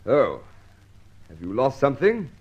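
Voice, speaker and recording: An actor playing a dryly courteous British police inspector, formal and deferential, in an old radio detective drama. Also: male voice, mid-range voice, wildly swooping pitch, a little slow, slightly rough, muffled recording, exaggerated voice. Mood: dry, probing.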